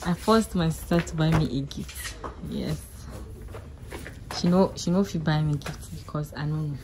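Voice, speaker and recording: A young woman chatting casually to her phone camera as a vlogger, laughing often and talking in an animated way. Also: low-pitched, moderate pace. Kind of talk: casual vlog talk to camera. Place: indoors, hallway of a building. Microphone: phone camera at arm's length. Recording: noisy phone vlog audio.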